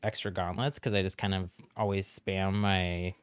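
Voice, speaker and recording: A man's voice: low voice, flat delivery, normal speed, smooth, no echo. Man, game streamer, friendly casual chat, frequent ums, laughs, dry close mic.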